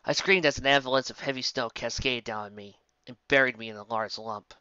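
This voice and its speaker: Story reader: bright tone, dry, dull lo-fi home recording. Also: medium pitch, a little fast, smooth, no room sound. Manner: plain, steady reading with small stumbles. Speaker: fan fiction reader. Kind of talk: first-person story reading.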